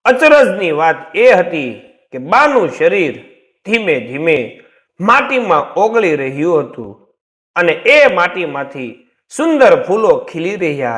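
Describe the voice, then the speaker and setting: A man narrating a scripted story in a dramatic, measured and suspenseful way. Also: high-pitched, a bit bright.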